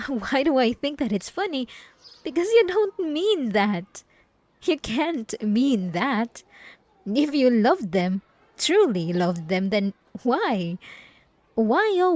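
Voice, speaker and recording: A woman playing a possessive, obsessive ex-girlfriend in an ASMR roleplay, with drawn-out, cooing condescension and sweet menace. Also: fairly high pitch, darkish tone, no room sound, heavily acted. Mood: mocking. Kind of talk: ASMR roleplay monologue to the listener.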